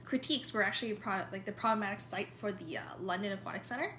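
A woman talks through a presentation in a relaxed, informal way, often pausing and restarting her phrases. She is recorded in an echoey room with faint noise behind. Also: mid-range voice. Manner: hesitant, many fillers and restarts.

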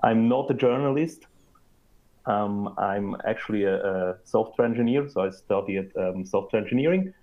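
A man from Switzerland, a guest on the podcast, answering interview questions in a measured way with some fillers. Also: fairly low pitch, dull audio. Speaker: guest, product manager.

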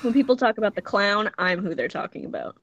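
A woman's voice: mid-range voice, fast talker, fairly bright tone. A woman co-hosting a casual podcast, playful and teasing, tossing out rhymes. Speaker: podcast co-host. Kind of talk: casual chat.

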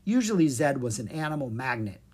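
A woman narrates a children's storybook in a low, slightly raspy voice. Her delivery is expressive and lively, with pitch that moves freely through the sentences. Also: fairly quick. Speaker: storyteller reading a children's book.

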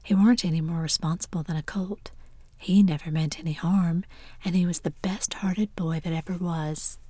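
A woman's voice: lowish voice, fairly even intonation, normal speed, warm tone, no echo, close to an everyday voice. A woman whispering as a grieving, pious old aunt in a storybook reading.

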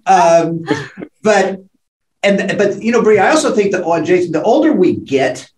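A man's voice: high-pitched, lively intonation, normal speed, a little mellow, reverberant, low-quality recording. A man in his late sixties co-hosting a podcast, talking candidly with frequent restarts and frank swearing. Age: late 60s. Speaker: podcast co-host. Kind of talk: candid conversational podcast talk.

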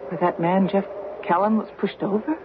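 A woman's voice: medium pitch, fairly even intonation, normal speed, natural voice. An actress playing an anxious, persistent wife in an old-time radio drama, stammering as she presses her suspicions. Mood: puzzled, worried. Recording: muffled, noisy old radio broadcast.

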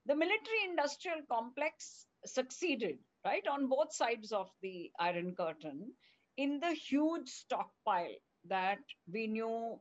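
Lively woman interviewer, slightly high voice. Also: dull audio. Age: 60s. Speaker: interviewer, host. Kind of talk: conversational long-form interviewing.

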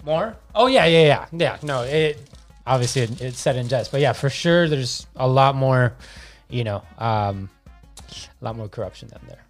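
Podcast guest, male, 30s, from Argentina, medium pitch, slangy casual talk over faint music. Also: expressive, moderate pace.

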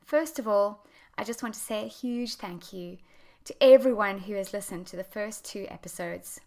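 A woman hosting her own podcast, a health coach explaining her topic in an earnest, explanatory way, her voice slightly croaky as she gets over a chest infection. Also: mid-range voice, moderate pace, a little mellow. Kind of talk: solo podcast talk.

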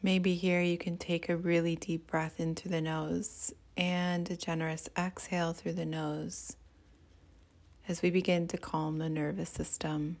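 A woman leading a guided meditation as a teacher, speaking in a soothing, gentle and unhurried way. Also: on the low side, little pitch movement.

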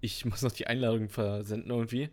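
A man's voice: mid-range voice, steady tone, quick pace, slight background noise. A nineteen-year-old man co-hosting a podcast, chatting casually in laid-back slangy talk. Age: turning 19. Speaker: podcast co-host. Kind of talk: casual chat.

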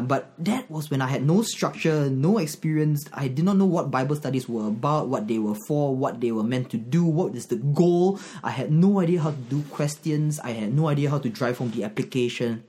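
A man's voice: a little high, fairly quick, few pauses, mellow. A man from Singapore with a Singaporean accent, a Bible teacher talking earnestly as a podcast guest and laughing easily. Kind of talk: podcast conversation.

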